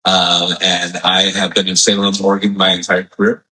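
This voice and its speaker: Deep-voiced, bright-toned man in easy interview talk. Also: monotone, moderate pace. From Hawaii. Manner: friendly, easygoing, with fillers. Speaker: physical therapist guest. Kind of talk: casual interview.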